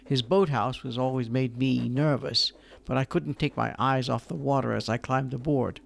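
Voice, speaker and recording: A man's voice: mid-range voice, fairly even intonation, moderate pace, gravelly. An actor playing a frightened man who tells of dreams that draw him toward a lake, narrating in a radio drama. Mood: fearful. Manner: uneasy, fearful confession. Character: haunted man recounting a dread secret.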